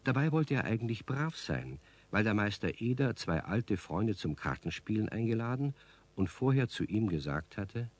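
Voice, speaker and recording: A man's voice: fairly low pitch, big pitch swings, normal speed, a little mellow, slightly rough. A man performing as a friendly storyteller, narrating a scripted children's radio play. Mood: explaining.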